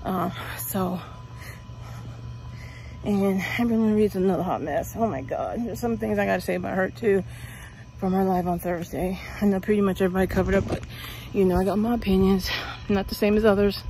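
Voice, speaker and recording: A woman's voice: medium pitch, a little fast, dark tone, slight background noise, rough recording. A middle-aged woman vlogging while walking outdoors at night, talking in a rambling, chatty way with lots of fillers. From Pennsylvania, US. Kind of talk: casual walking vlog. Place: outdoors on a suburban street at night. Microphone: earbud mic, phone held at arm's length while walking.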